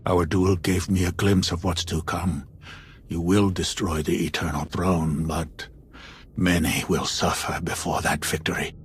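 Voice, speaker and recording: An actor playing a stern, fanatical seer in a video game, speaking in solemn, portentous tones. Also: male voice, deep voice, flat delivery, unhurried, slight background noise. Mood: grave prophecy. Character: stern fanatical male seer judging fate.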